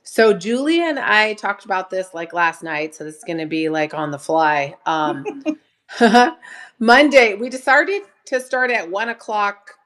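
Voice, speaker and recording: A slightly hoarse woman hosting a live sale stream, chatting casually, greeting viewers by name and laughing often. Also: mid-range voice, animated, a little slow, a bit bright.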